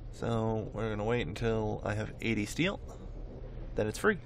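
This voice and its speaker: A man's voice: fairly low pitch, moderate pace, a little hiss or hum. A man giving casual gameplay commentary, thinking aloud in a rambling way and often correcting himself. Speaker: host of a gameplay video.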